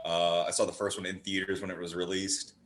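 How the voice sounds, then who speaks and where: A man's voice: low-pitched, flat delivery, brisk, fairly bright tone. A man chatting casually about films as a podcast guest, riffing playfully and slipping into mimicked dialogue. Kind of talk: casual film chat. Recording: roomy, echoing voice.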